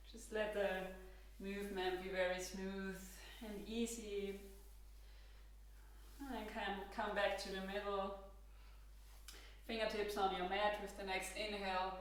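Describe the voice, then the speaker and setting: A woman with an Austrian accent, a native German speaker, leading a guided yoga class with calm, gentle instructions. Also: medium pitch, unhurried, long pauses, distant mic. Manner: calm, gentle cueing with soft laughs. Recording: roomy echo, from across the room.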